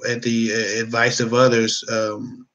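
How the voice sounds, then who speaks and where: A man's voice: medium pitch, monotone, measured pace, a bit bright. A man hosting a dating advice talk, speaking frankly in a rambling, casual way. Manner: frank, rambling, with many restarts and fillers. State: losing his voice.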